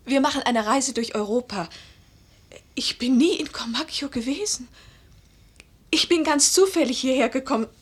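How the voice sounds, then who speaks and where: An anxious woman in her forties telling her story in a radio play, husky breathy voice, hushed and searching. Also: high voice, normal speed, long pauses. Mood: agitated, protesting. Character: bewildered American woman of forty-one, anxious, introspective first-person narrator. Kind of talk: German radio play narration and dialogue.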